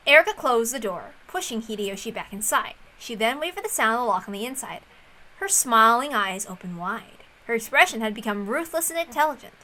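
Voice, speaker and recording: A woman reading a visual novel aloud as narrator, expressive and informal in style. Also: fairly high pitch, a little fast, rough recording.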